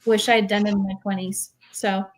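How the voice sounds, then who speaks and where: A woman's voice: mid-range voice, flat delivery, moderate pace. A woman talking informally and explaining things on a panel, with an earnest, advising manner. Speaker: real estate investor on a panel.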